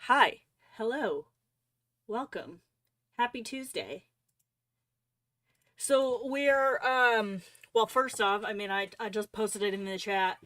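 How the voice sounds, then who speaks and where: A woman with a medium-pitched voice hosts a casual livestream. She talks informally and haltingly, as if chatting with regulars. The sound is dry, with very little room in it. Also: fairly bright tone, dry recording. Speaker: livestream host.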